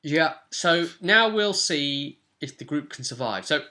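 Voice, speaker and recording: A man's voice: on the high side, animated, unhurried, sharp tone. A man in his twenties chatting casually as host and game master about a tabletop game session, recorded indoors on a webcam. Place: small home room, indoors. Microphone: webcam at arm's length.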